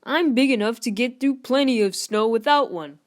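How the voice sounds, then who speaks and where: A boastful male steam engine from a children's storybook, acted by a woman. The voice is raised high and exclaims with bragging, teasing push. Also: normal speed, lightly acted. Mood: mocking.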